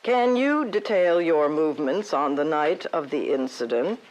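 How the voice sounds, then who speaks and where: An actress playing a polite, formal official in a comedic audio drama, with some background noise. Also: female voice, deep voice, lively intonation, unhurried, a little mellow, muffled recording.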